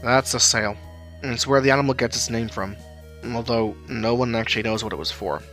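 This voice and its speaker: Audio-drama role: blunt, cautious brainy young guy, forward bright tone, male narrator acting, faint music. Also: medium pitch, fairly quick, natural voice. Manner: blunt, hesitant while recalling facts.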